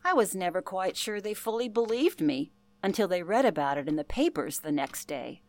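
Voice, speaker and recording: A woman's voice: fairly low pitch, big pitch swings, moderate pace. An actress playing an older former telephone operator, telling her past in an earnest, genteel way for a radio play. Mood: wry reminiscing. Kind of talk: radio play, interview-style reminiscence.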